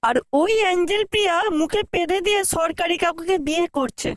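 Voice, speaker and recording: A man voicing a grumbling, wisecracking young loafer in a comic cartoon, crude and sardonic, with a pitched-up effect. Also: fairly quick, music in the background. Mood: mocking.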